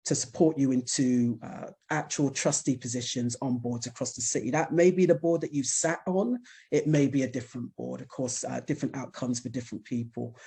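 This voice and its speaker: A man hosting a launch event, explaining a leadership programme in a steady, explanatory way with fillers. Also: mid-range voice, breathy edge. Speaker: programme host.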